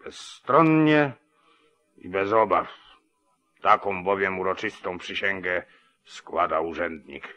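A weary sheriff in an old 1940s radio drama. His voice is low and bright with a forceful edge, and he declaims while dodging responsibility. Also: takes it slowly, pauses often, exaggerated voice. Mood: grave, resigned. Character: evasive, weary southern small-town sheriff.

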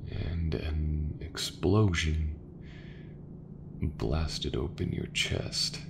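A man playing an easygoing, folksy sci-fi mechanic in a soft, breathy, reassuring ASMR roleplay voice. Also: rumbling low, steady tone, noisy background, exaggerated voice. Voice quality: gravelly, breathy, half-whispered. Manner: slow, unhurried, reassuring, colloquial. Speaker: voice actor.

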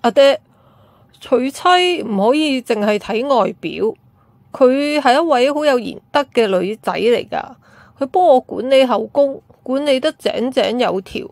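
A woman's voice: high voice, lively intonation, a little slow, vocal fry, a little mellow, dry recording, full character voice. A woman voicing an earnest, dutiful young emperor with a firm manner in an amateur radio drama, on a noisy recording. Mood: earnest, reassuring. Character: earnest, steady ancient emperor, dutiful son.

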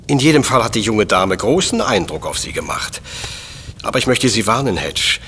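An actor playing an unflappable, pedantic professor detective in a German audio drama, speaking precisely and condescendingly. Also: male voice, lowish voice, very animated, normal speed, bright, forceful tone, slight background noise, exaggerated voice. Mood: dry, lecturing.

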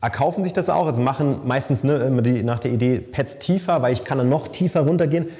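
A man's voice: mid-range voice, fast, warm tone. A man working as a bike fitter, explaining thoughtfully in a lab, with stumbles and repeated words. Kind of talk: casual expert explanation. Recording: long reverb in a lab room.

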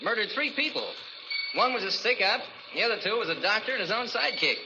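An actor playing a folksy, gossipy gas station attendant in a 1940s radio drama. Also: male voice, high voice, lively intonation, fast talker. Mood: folksy, excited. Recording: muffled, noisy 1940s radio recording.

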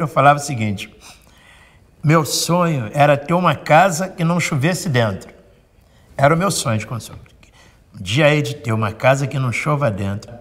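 A man in his late sixties, a samba singer, telling his story in an expressive way. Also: medium pitch. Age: 60s.

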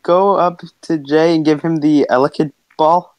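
A man's voice: fairly high pitch, steady tone, normal speed, a little mellow, clean tone, no room sound, low-quality recording. A young man talking casually as a player in an online tabletop game. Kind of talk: casual chat.

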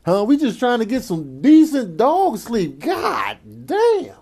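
A man voicing a grumpy, sleep-deprived pet dog in a comic animal voiceover, griping loudly in exclamatory slang. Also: very high voice, wildly swooping pitch, slow, vocal fry, background noise, exaggerated voice. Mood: exasperated.

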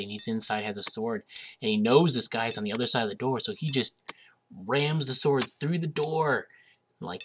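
A man's voice: medium pitch, a bit bright, slight background noise. A young man hosting a gaming video, getting over a cold and a bit sniffly, chatting casually with lots of hesitations while he plays. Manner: chatty, hesitant with many uhs, amused. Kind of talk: casual let's-play commentary.

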